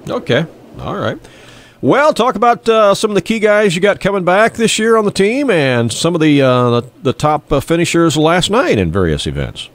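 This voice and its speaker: A man hosts live sports talk from a radio studio in a relaxed, chatty style. His voice is scratchy and nasal from congestion, and his pitch moves around freely and expressively. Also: normal speed. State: congested from a lingering cold.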